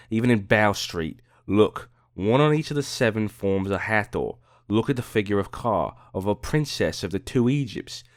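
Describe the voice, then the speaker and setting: A man voicing a proud, quick-tempered Egyptologist in a dramatic audiobook, firing emphatic, scornful questions. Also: lowish voice, a little mellow, no echo, clean studio sound, pitched above the performer's own voice, close to an everyday voice.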